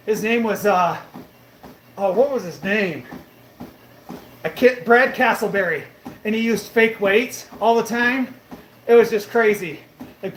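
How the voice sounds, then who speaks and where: A man in his late thirties, livestreaming while on a treadmill, brash and boastful, a bit out of breath. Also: high voice. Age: 30s. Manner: boastful and brash, swears casually. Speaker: fitness livestreamer. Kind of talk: casual livestream chat reading comments. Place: gym on a treadmill. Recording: echoey gym room with faint noise.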